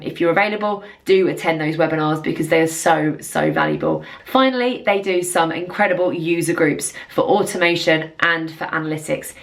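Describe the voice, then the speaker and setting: Female presenter, lowish pitch, semi-scripted software review, earnest and emphatic delivery. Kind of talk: semi-scripted explainer to camera.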